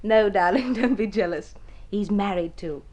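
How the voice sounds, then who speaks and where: An actress playing a devoted, excitable young wife in an old radio drama, theatrical and expressive. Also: female voice, mid-range voice, normal speed, close to an everyday voice. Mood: delighted, proud. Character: devoted young wife, struggling music student, proud and excitable. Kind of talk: mid-century radio drama acting.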